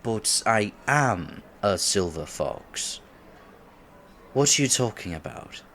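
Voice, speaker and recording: A man's voice: on the low side, sing-song, slow, fairly bright tone, a little hiss or hum, heavily acted. A man in his twenties playing a nervous, polite young silver fox kitsune who wants to seem mature, in an ASMR date roleplay, speaking formally with stammers. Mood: puzzled, amused. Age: 20s. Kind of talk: ASMR roleplay, scripted one-sided date conversation.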